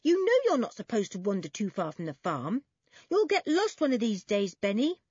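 A woman's voice: mid-range voice, sing-song, moderate pace, slightly rough, dry recording, dull audio. A woman voicing a stern, cheeky schoolboy who scolds and teases in a storybook reading. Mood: scolding. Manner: scolding, teasing.

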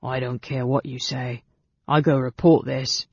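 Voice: flat delivery, unhurried, darkish tone, slightly rough, dry recording, dull audio. A narrator voicing a suspicious, sullen male goblin guard with broken grammar and a hissing, sneering delivery in a fantasy storybook. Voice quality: shrill, hissing.

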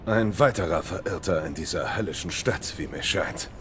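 An actor in a German audio drama playing a gallant, guarded Victorian knight with formal, courteous speech. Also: male voice, deep voice, normal speed, a bit bright, a little hiss or hum. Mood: feigned politeness.

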